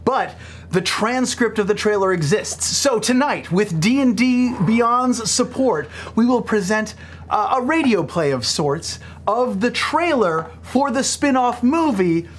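A man telling a mock-earnest story to a group in a deadpan manner, as a presenter. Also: extremely high pitch, measured pace, slight background noise. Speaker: cast member presenting a sponsor bit. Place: indoor studio set at a long table. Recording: live table with people laughing behind.

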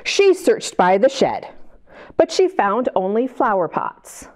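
A middle-aged American woman hosting a library storytime, reading aloud to young children in an expressive, sing-song way and drawing them in with questions. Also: medium pitch, takes it slowly, slight background noise. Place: indoor library children's area. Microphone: lapel mic.

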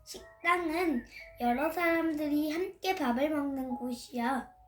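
An eight-year-old girl recites a children's story in a high voice. She goes slowly and deliberately, as if from memory. The room adds a clear echo. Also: darkish tone. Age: child, 8 years old. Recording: roomy echo.

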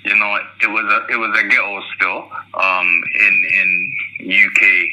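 A man from South London with a Jamaican-tinged accent, speaking as a guest in a radio interview in a relaxed way, full of "you know". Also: fairly low pitch, measured pace, slight background noise, poor audio. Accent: South London with Jamaican influence. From Brixton, South London, UK. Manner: laid-back, lots of fillers like "you know". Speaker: guest rapper.

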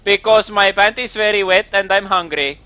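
A man with an Estonian accent improvising a campy, pleading singer character in halting broken English during a game stream. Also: high voice, sharp tone, no echo. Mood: pleading, anxious. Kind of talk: improvised role-play while streaming a game.

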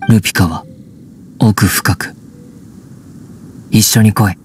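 Wolf-raised young man, anime game, low voice, really slow blunt halting lines. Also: fairly even intonation, a little hiss or hum. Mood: guarded, flat. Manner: halting, blunt short phrases. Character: terse wild young man raised by wolves.

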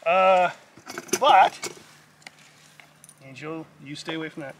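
A man's voice: a little high, expressive, slow, distant mic, slight background noise. A middle-aged man talking casually at an outdoor lakeside campsite, chatty and self-mocking, sometimes tripping over his words. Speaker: vlogger on a canoe trip. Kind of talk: casual camping vlog chat.

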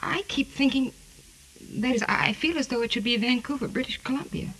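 A woman's voice: sits high, a little fast, a bit bright, slight background noise, poor audio. A woman playing a frightened, exhausted amnesiac in 1940s radio drama style, hesitant, anxious and pleading. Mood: confused. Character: frightened, exhausted woman who has lost her memory. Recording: vintage 1940s radio recording.

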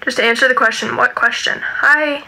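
A woman's voice: on the high side, fairly even intonation, normal speed, creaky, pushed and bright, rough recording. A young woman co-hosting a casual phone livestream in a small bedroom, playfully teasing and reading greetings from the chat. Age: young adult. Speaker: co-host. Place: small bedroom with string lights. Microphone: phone held at arm's length.

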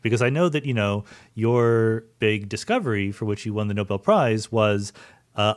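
A man's voice: lowish voice, no room sound. A man hosting a science podcast, asking questions in a curious, thinking-aloud way. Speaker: podcast host. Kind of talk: conversational science interview.

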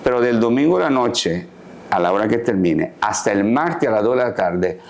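A man in his sixties, a tailor, calmly explaining his daily routine in a sit-down interview, recorded on a lapel mic in a living room set. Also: fairly low pitch, normal speed. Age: 60s. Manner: calm, explanatory, gestures while talking. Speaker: guest, tailor. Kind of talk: casual interview talk.

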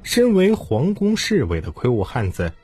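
A man's voice: medium pitch, huge pitch swings, normal speed, warm tone, breathy edge, dry recording. A man narrating a story in a dramatized, scripted audiobook style. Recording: music bed behind the voice.

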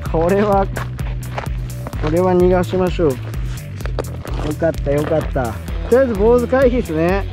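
A man's voice: sits high, normal speed, long pauses. Chatty man with music behind him. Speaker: fishing vlogger. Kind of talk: casual chat while fishing. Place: outdoors on a concrete seawall by the sea. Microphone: camera worn on the body.

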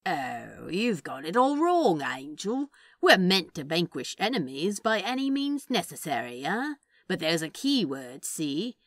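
A teasing male demon from fan fiction as a woman acts him out, lowish and very animated. The delivery is sly, sardonic and casually drawled, recorded close and dry. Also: measured pace, dry recording, exaggerated voice. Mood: smug, mock-offended. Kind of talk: fan fiction dialogue reading.

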